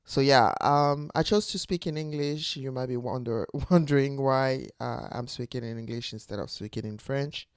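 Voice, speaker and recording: A woman's voice: rumbling low, moderate pace, few pauses, no room sound, studio quality. A woman in her forties from Ivory Coast, talking to camera in an unscripted, reflective way as she searches for her words. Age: 40s. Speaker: channel host, teacher. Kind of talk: unscripted personal talk to camera.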